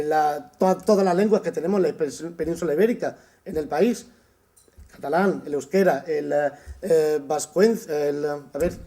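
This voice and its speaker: Male university lecturer from Spain, high pitch, unhurried lecture with frequent hesitations. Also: mellow. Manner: thoughtful, with frequent "eh" pauses and restarts. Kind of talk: summer course lecture.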